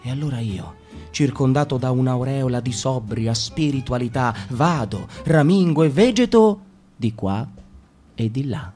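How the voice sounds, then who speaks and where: A man reading a literary text as radio theatre, dramatic and shifting between calm and agitated. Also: mid-range voice, measured pace, soft background music.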